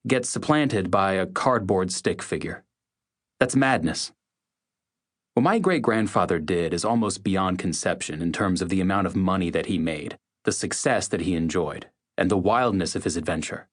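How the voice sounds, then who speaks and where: Emphatic low-pitched man reading testimony. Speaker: audiobook narrator. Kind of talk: first-person oral history testimony read as scripted narration.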